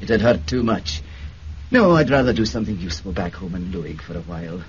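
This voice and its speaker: An actor playing a resigned, thoughtful politician with a Scottish accent in a radio drama. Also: male voice, sits low, brisk, natural voice. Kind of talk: 1970s radio drama acting. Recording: dull, faintly noisy radio recording.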